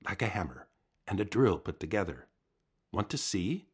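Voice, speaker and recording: A man's voice: deep voice, very animated, normal speed, a little mellow, breathy edge, full character voice. A man doing a vain little sapsucker bird. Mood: pleased.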